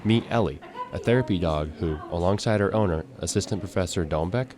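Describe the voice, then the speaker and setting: A man's voice: sits low, brisk, crowd noise. A young man narrating scripted news packages as a student TV reporter. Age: young adult.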